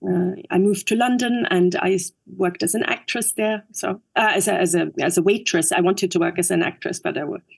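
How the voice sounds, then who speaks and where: A woman from Upper Austria whose first language is German, speaking English with an Austrian accent as an interview guest, thoughtful and halting with many fillers. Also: fairly low pitch, steady tone, creaky. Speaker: guest, actress and director. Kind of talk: interview conversation.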